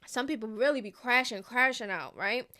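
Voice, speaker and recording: A woman in her thirties talks straight to her viewers in a high voice, chatty and full of slang. Her tone is bright and forceful, and she keeps checking in with them. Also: moderate pace. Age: 30s. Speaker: gossip commentary host. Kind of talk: casual commentary to viewers.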